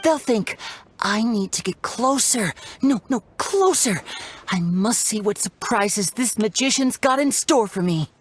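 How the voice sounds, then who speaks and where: Lively, theatrical young magician. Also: female voice, a little high, measured pace, a little hiss or hum. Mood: theatrical, warm. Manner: theatrical, teasing, showy. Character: playful young stage magician, theatrical and charming. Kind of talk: scripted video game character dialogue. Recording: music behind the voice.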